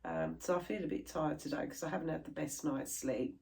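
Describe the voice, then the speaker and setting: A middle-aged British woman chatting casually to camera about her crafts, sounding stuffy and a little croaky from a chest infection. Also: low-pitched, very animated, a little fast, a little mellow. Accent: British English. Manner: chatty, rambling, laughs easily. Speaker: cross stitch vlogger.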